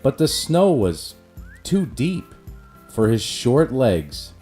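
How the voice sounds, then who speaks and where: A man narrating a rhyming children's storybook in an expressive, measured way. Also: medium pitch, faint music behind.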